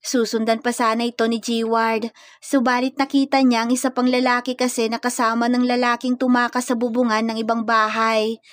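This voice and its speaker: A woman's voice: on the high side, level tone, moderate pace, no room sound, studio quality. A woman storyteller reading scripted romance fiction in a dramatic narrative style.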